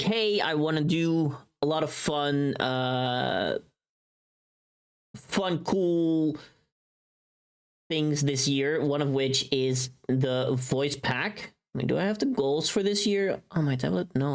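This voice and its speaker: A woman chatting casually to viewers on a livestream, talking in a scattered, expressive and self-deprecating way. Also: sits low.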